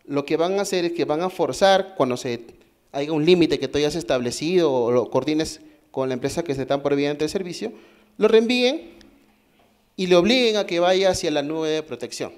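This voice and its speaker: A man's voice: a little high, moderate pace, clean tone. A man with a Peruvian accent giving a technical talk at a conference, explaining step by step, asking the audience questions and often restarting his sentences. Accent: Peruvian Spanish. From Peru. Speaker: conference speaker. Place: conference hall.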